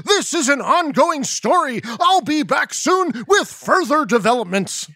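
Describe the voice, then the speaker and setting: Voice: high-pitched, big pitch swings, measured pace, sharp tone, dry recording, full character voice. Chet Nickerson, a performer doing a peppy newsman. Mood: newscaster. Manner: announcer-style, turns everything into breaking news. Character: pompous male TV news reporter. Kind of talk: kids podcast comedy sketch.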